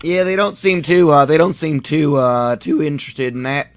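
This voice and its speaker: A man's voice: fairly high pitch, normal speed. A man doing comedic live race commentary, rambling with many fillers and restarts.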